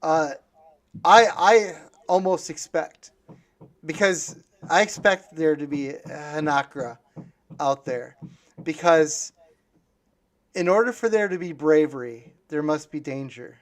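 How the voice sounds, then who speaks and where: A man hosting a casual conversational interview, musing aloud and speaking haltingly in fragments. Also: a little high. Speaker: podcast host.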